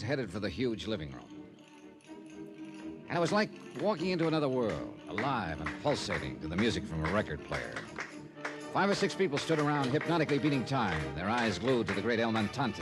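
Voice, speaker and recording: Actor as wry radio detective, very animated. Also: male voice, mid-range voice, natural voice. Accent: American. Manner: dry, sardonic. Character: tough, wry American investigator narrating his case. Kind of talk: old-time radio drama narration. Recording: vintage radio broadcast, music behind.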